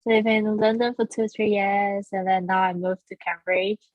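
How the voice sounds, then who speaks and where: Woman from Taiwan, founder guest, earnest and restarting often, mid pitch, dull dry sound. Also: steady tone, moderate pace, dry recording, soft background music. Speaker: guest, founder of a language school. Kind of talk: casual interview.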